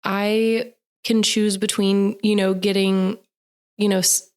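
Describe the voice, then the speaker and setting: A woman's voice: mid-range voice, flat delivery, takes it slowly, clean tone. A woman in her thirties co-hosting a casual podcast, speaking candidly, her voice still recovering after losing it. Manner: candid, chimes in to finish her partner's sentences. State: voice recovering from laryngitis. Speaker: podcast co-host. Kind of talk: casual chat.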